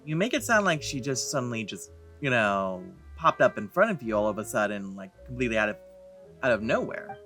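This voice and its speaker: Male Let's Play host, very lively intonation, chatty, playful, casual, faint game music. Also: mid-range voice, a little fast. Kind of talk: casual gameplay commentary.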